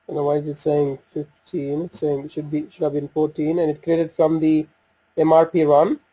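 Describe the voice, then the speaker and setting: Man, fairly high voice, mellow tone, software demonstrator, thinking aloud, hesitant, unscripted. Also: flat delivery, moderate pace, husky, poor audio. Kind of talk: unscripted screen-share software walkthrough. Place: voice-over on a screen recording.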